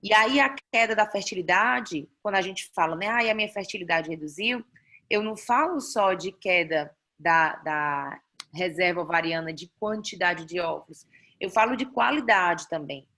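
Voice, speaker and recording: A woman with a Brazilian Portuguese accent gives an online lecture over a video call mic. Her voice sits at a middle pitch for a woman. She explains slides step by step, often checking in with her listeners. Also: a bit bright. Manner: explanatory, frequent "né?" tags and restarts. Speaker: doctor teaching a fertility class.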